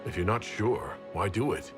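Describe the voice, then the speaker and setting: An actor playing a frank, steadfast dwarf hero in a video game, plain-spoken and earnest. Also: male voice, lowish voice, lively intonation, moderate pace, music in the background, close to an everyday voice. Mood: doubtful. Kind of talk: dramatic video game acting.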